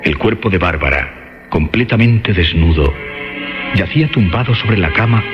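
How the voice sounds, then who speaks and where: Male narrator for radio horror: very low, grave and dramatic, emphatic repetitions, clear music bed. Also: lively intonation, normal speed. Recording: dull lo-fi radio sound.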